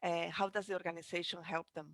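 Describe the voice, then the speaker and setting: A woman in her thirties co-hosting a webinar, haltingly phrasing audience questions into a headset. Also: lowish voice, level tone, fairly bright tone, dry recording, clean studio sound. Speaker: co-host relaying audience questions. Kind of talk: webinar moderation, unscripted. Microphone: headset boom mic on video call.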